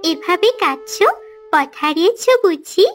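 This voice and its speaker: A woman voicing a kindly, curious helper in a fairy-tale cartoon, coaxing and gently teasing. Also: very high voice, expressive, normal speed. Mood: sympathetic. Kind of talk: Bengali cartoon voice acting. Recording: music behind the voice.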